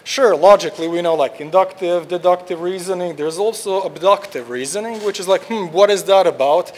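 A man's voice: sits high, brisk, darkish tone, slight background noise. A young man from Croatia, a research scientist giving an informal tutorial talk in a lecture hall, with lots of "like" fillers and restarts. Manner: casual, many "like" fillers and restarts.

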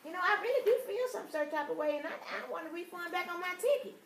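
A woman's voice: extremely high pitch, expressive, quick pace. A woman playing an aggrieved, easily offended customer, rambling and swearing through a prank phone complaint. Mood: aggravated. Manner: indignant, rambling, repetitive, foul-mouthed. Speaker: prank caller. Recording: roomy, distant, noisy phone prank recording.

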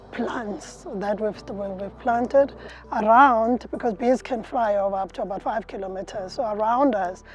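Lady beekeeper, kinda high mellow voice, outside, soft music under it. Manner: explanatory, with frequent fillers and restarts. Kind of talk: interview answers. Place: outdoors in an orchard.

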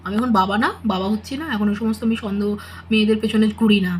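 A woman's voice: medium pitch, fairly even intonation, rapid-fire, slight background noise, lightly acted. A woman voicing a defensive, coaxing young husband in a doll-play drama, protesting and placating. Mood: defensive, irritated. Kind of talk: melodramatic doll-play dialogue.

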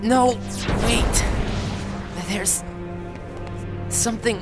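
An earnest young hero. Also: male voice, extremely high pitch, steady tone, very slow, exaggerated voice. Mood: strained. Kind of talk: video game cutscene voice acting. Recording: game audio with faint music.

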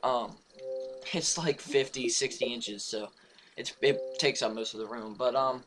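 Raspy, just-woken young man. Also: mid-range voice, fairly even intonation, unhurried, over music. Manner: rambling, many fillers and restarts, casual swearing. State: hoarse, just woke up. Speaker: gaming channel host. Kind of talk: casual channel update. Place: home room beside his gaming setup.

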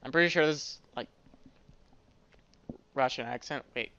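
A man's voice: mid-range voice, normal speed, sharp tone, clear, resonant tone, dry recording, highs cut off. A man giving casual gameplay commentary, rambling and thinking aloud as he plays. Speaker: gaming video host.

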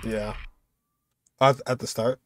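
A young man hosts a casual reaction video, excited and full of slangy exclamations. His voice sits at an ordinary middle pitch, with music playing faintly behind him. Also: slow pace. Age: young adult. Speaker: game reaction video host.

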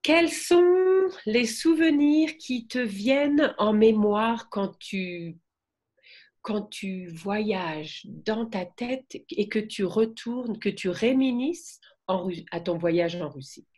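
A woman's voice: mid-range voice, takes it slowly, darkish tone. A middle-aged woman hosting a podcast over a video call, asking curious, encouraging questions from a room at home. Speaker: podcast host. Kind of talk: conversational interview.

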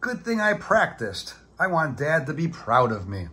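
Man doing an excitable, high-pitched sponge boy. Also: normal speed, slightly rough, full character voice. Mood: hopeful, excited. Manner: enthusiastic, exclamatory. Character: eager, cheerful young cartoon sponge boy. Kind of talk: children's storybook character voice.

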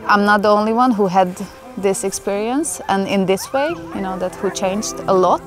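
Young woman, from Hungary, English, emotional storytelling, mid pitch, music behind. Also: moderate pace. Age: young adult. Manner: earnest, emotional, chatty with fillers. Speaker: interviewee. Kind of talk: personal storytelling interview. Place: outdoors in a forest. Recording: clear music bed under the voice.

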